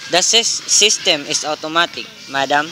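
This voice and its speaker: A woman's voice: low-pitched, expressive, normal speed, over music. A woman playing a polite, patient telephone operator in a radio play, speaking in a formal, procedural way. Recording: clear background noise.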